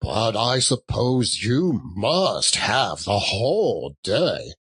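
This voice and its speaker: Man doing a grudging old miser boss from a storybook, curt, slow delivery, swoops around. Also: fairly low pitch, very animated, fairly bright tone, husky, heavily acted. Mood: grudging, irritable. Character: miserly, grumbling old male employer.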